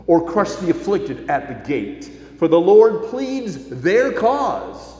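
A man preaching a sermon as a pastor, measured and leaning with emphasis on key phrases. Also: medium pitch, darkish tone. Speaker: pastor preaching to his congregation. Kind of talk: sermon, reading and explaining scripture. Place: large reverberant indoor worship space. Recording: echoey church hall, muffled, faint noise.

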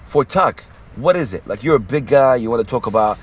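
A man's voice: mid-range voice, expressive, a little fast, slightly rough. A man interviewing a guest in a friendly, rambling way, slipping Arabic words into his questions. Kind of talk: casual interview talk.

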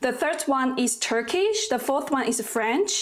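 A woman's voice: high voice, animated, normal speed. A woman whose first language is Mandarin, speaking in English as a guest in an interview, earnest and careful. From China. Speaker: guest polyglot. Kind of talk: conversational interview.

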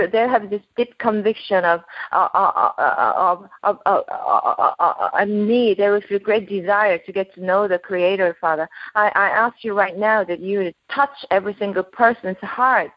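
A Korean-born woman with an accent mixing Korean, German and British, praying aloud and chatting as a guest minister with many halting restarts. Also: mid-range voice, no echo. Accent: Korean mixed with German and British. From South Korea. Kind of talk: spoken prayer and podcast chat. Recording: dull, dry podcast audio.

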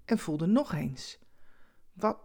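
A woman narrating a scripted story expressively, with conversational asides and dramatic pauses. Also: lowish voice, animated, darkish tone, husky.

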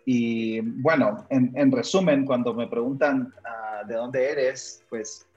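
A man's voice: mid-range voice, steady tone, takes it slowly, a little mellow, dull audio, faint music behind. A man in his thirties, raised in Honduras, a missionary and pastor telling his life story casually with frequent fillers. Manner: chatty, many "ah" fillers, laughs easily. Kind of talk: relaxed personal storytelling.

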